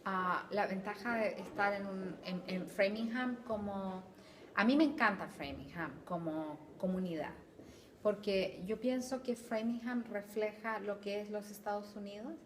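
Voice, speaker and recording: A woman's voice: mid-range voice, unhurried. Chilean woman recalling family abroad. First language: Spanish. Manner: thoughtful, with hesitant repeats. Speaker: interviewee, Spanish teacher. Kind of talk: casual interview answers.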